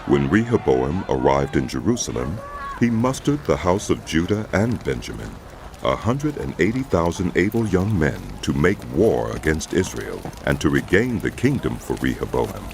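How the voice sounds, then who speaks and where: A man narrating scripture as a scripted drama, measured and solemn, with music behind his voice. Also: rumbling low, big pitch swings, noisy background. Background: loud music.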